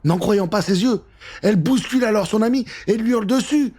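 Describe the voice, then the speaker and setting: A man narrating a children's story in an expressive, theatrical and conspiratorial way, speaking straight to the listener. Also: sits high, fairly quick, breathy edge. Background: music.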